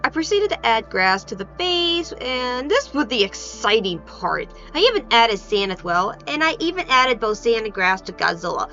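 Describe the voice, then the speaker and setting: A woman with a high voice gives an informal craft video voice-over. She is playful and self-deprecating, sometimes hesitant. The recording is a little muffled, with music playing behind her. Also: very animated, normal speed, hardly any pauses, pushed and bright. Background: background music.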